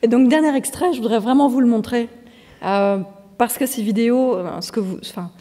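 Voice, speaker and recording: A woman presenting and commenting on video clips for an audience, explaining in a relaxed way with fillers. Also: a little high, fairly quick, long reverb tail. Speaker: trainer presenting on radicalisation.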